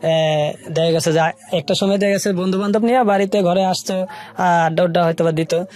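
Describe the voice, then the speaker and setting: Higher-voiced Bangladeshi man, halting storyteller. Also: steady tone, darkish tone. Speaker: radio show guest telling life story. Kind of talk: personal storytelling in an interview.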